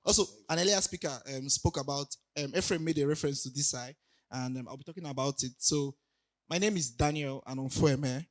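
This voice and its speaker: A young man speaks at a conference, his voice raspy as he recovers from illness. He sits a little higher than most men and stops often to hesitate or restart a thought. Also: a little fast. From Nigeria. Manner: hesitant, many ums and restarts, laughs lightly. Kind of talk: casual conference talk. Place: conference hall.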